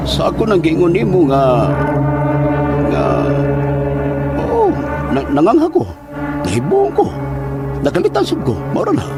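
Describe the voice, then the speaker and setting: A woman's voice: on the low side, wildly swooping pitch, measured pace, long pauses, exaggerated voice. A woman playing an agitated, frightened female victim in a horror audio drama, with music behind her. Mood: uneasy. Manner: agitated, crying out. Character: frightened, pleading female victim of a dark ritual.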